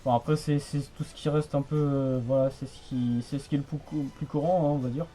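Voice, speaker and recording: A man's voice: mid-range voice, steady tone, brisk, warm tone, soft background music. A young man chatting casually over the radio in a rambling, repetitive, colloquial way. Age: young adult. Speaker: radio hobbyist, channel host. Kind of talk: casual radio contact chat.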